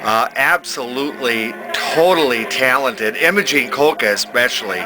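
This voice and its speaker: An older man giving a casual, unscripted hobbyist demonstration, talking in a folksy, halting way as he thinks aloud. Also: medium pitch, over music, poor audio. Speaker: hobbyist demonstrating a vintage television he repairs.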